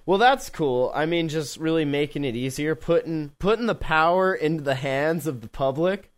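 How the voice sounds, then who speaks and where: A man hosting a casual tech podcast, joking around and laughing often between quick remarks. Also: fairly high pitch, clear, resonant tone. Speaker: podcast host.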